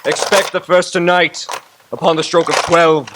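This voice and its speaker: An actor playing the tormented ghost of a dead businessman, with solemn, booming warnings in a radio drama. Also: little pitch movement, normal speed, noisy background, lightly acted.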